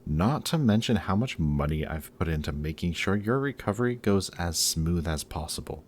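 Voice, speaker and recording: A man's voice: deep voice, lively intonation, moderate pace, slight background noise. A man playing a guarded supervillain in a roleplay audio drama, sardonic and awkward whenever he shows that he cares. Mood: guarded, boastful. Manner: sardonic, hesitant when showing care. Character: gruff, guarded male supervillain, secretly caring, sardonic.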